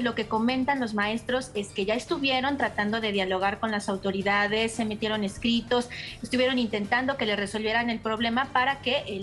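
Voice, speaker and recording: Guest expert, woman, higher voice, careful explainer over video call, faint music underneath. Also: a bit bright. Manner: measured, explanatory, frequent fillers and restarts. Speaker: education researcher, guest. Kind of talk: live news interview by video call.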